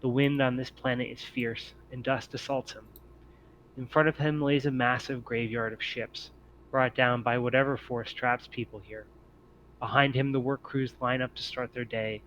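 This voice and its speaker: A man's voice: medium pitch, fairly even intonation, normal speed, fairly bright tone, low-quality recording. Man narrating over noticeable background noise. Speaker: game master. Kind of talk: scripted scene-setting narration for a tabletop game.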